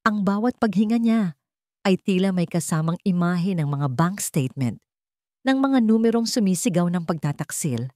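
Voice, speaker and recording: Low-voiced Filipino woman narrating, dry close sound. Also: darkish tone, dry recording. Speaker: story narrator. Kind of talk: scripted dramatic narration of a romance drama story.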